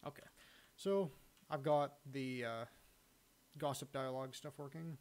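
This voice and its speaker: A man giving a casual, unscripted screen-recording walkthrough of his own software, halting as he thinks aloud. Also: medium pitch. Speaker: software hobbyist demonstrating own add-on. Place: indoors, at a computer recording the screen.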